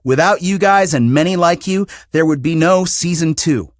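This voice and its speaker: A man hosting a podcast, reading a scripted announcement in a polished, deliberate presenter's manner. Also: fairly high pitch, dry recording, studio quality. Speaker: podcast host and show creator.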